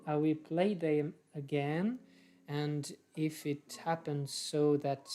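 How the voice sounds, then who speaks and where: A young woman hosts a live poetry stream from a room at home. Her voice is low and mellow, and she talks slowly and hesitantly. Light guitar music sits behind her. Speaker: host of an online poetry reading. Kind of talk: informal live stream hosting. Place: small room at home, indoors. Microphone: video call.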